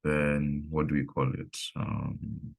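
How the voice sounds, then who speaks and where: A man with a very deep voice leading a live online coding lesson, thinking aloud with pauses and fillers. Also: monotone, gravelly, husky. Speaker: coding instructor.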